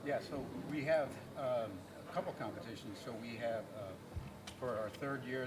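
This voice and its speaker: A man from the United States explaining a student competition on a panel, relaxed and halting with many fillers. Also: medium pitch, heavy background noise. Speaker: competition organizer.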